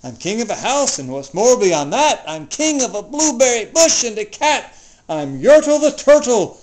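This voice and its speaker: A storybook reader voicing a boastful, tyrannical male turtle king, pompous and given to grand shouted commands. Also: mid-range voice, big pitch swings, moderate pace, voice pushed up, close to an everyday voice, poor audio. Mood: gloating.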